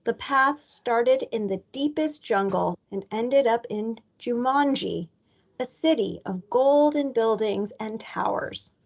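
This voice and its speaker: A woman reads a children's story aloud in a fairly high voice. Her pace is very unhurried, with deliberate, expressive narration. The recording sounds dull and lo-fi. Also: gravelly. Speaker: elementary school staff member reading aloud.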